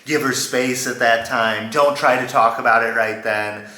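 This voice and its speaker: A man's voice: medium pitch, steady tone, moderate pace, pushed and bright, reverberant. A young man chatting casually with his partner about their relationship, laid-back, with many fillers like "you know" and "like". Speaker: co-host of a couple's channel. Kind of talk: casual couple chat, storytime.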